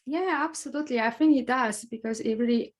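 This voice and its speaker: A woman's voice: on the high side, fairly quick. A woman in her thirties with a Polish accent tinged with Scottish, speaking reflectively as a podcast guest. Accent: Polish with a touch of Scottish. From Poland. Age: 30s. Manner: reflective, thoughtful with frequent fillers. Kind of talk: conversational interview.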